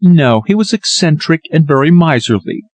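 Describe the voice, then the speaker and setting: A man's voice: a little high, animated, moderate pace, darkish tone, no echo, pitched above the performer's own voice, lightly acted. A man reading an audiobook, voicing a distressed young woman who speaks in an earnest, anxious, sorrowful way.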